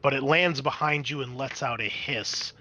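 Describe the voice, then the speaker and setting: A man's voice: medium pitch, moderate pace, heavy background noise. A man running a tabletop roleplaying game as game master, switching between dramatic scene-setting and casual rules talk.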